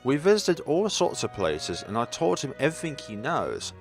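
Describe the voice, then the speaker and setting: Man as fond wistful mother, visual novel character, soft-hearted, expressive lilt, light music underneath. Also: mid-range voice, normal speed. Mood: nostalgic. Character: gentle, proud, wistful mother reminiscing about her son.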